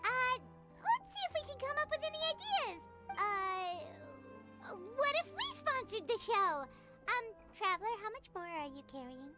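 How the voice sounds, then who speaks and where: An actress voicing a tiny, chirpy female fairy companion with a high, bubbly voice in a game cutscene. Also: very animated, a little slow, exaggerated voice. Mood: thoughtful. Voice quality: high, bubbly, third-person speech. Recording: game audio with loud music.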